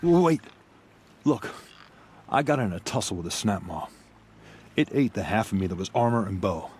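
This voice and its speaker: A man's voice: fairly low pitch, sing-song, normal speed, darkish tone, slight background noise. A man playing a proud but battered soldier in a video game, with a stiffly formal, haughty manner. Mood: pleading. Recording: music behind the voice.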